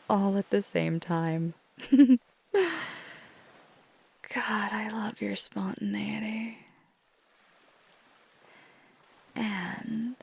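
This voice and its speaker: A flirty, caring vampire woman played by a female performer speaks in an intimate ASMR roleplay. Her soft voice is breathy and gravelly with fry, and she takes her time over every phrase. She sounds affectionate and gently teasing, speaking close to the listener. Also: mid-range voice, exaggerated voice. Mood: amused, teasing, then fond, concerned. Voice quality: soft, breathy, often whispery. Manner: unhurried, thoughtful, affectionate.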